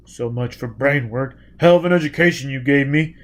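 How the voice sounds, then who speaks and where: A man voicing a rough, driven male fugitive in an audiobook, speaking in terse, forceful phrases. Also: medium pitch, normal speed, close to an everyday voice. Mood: weary disgust.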